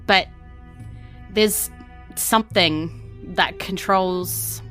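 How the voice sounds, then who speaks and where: A woman voicing a shaken woman drained by a curse in a tabletop game, halting and searching for words. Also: lowish voice, fairly bright tone, faint music behind. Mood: halting, uneasy.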